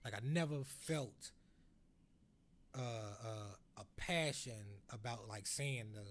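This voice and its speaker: A man's voice: mid-range voice, takes it slowly, a bit bright. An American man co-hosting a podcast, chatting reflectively in slangy, sometimes profane speech and repeating phrases as he goes. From United States. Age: adult over 25. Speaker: podcast co-host. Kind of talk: casual reflective conversation.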